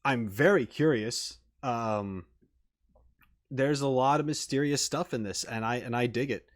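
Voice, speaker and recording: A man's voice: medium pitch, unhurried. A man giving casual, off-the-cuff reaction commentary as a video game host, hesitant and thinking aloud as he repeats words.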